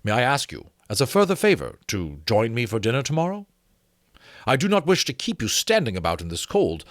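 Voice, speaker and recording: A man's voice: medium pitch, a bit bright, no room sound, clean studio sound. A man voicing a gallant, reassuring military captain with formal, courteous manners in a dramatic storybook reading. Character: gallant, reassuring British male dragon captain.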